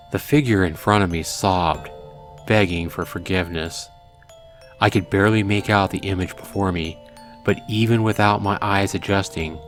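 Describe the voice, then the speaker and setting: A man hosting a let's play, reading a visual novel aloud in a dramatic way and adding hushed asides. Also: sits low. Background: game music.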